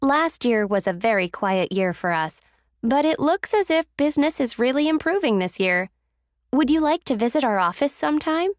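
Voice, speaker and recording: High-voiced friendly businesswoman, acted by a woman. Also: animated, moderate pace, no room sound, studio quality. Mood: friendly, upbeat. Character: friendly woman running a small design company. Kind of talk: scripted small talk for an English listening exercise.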